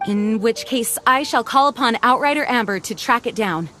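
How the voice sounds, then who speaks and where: Earnest, composed knight leader. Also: female voice, a little high, normal speed, sharp tone, soft background music. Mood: earnest concern. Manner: composed, formal, sincere. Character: earnest, dutiful young female knight leader. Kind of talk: anime-style video game acting.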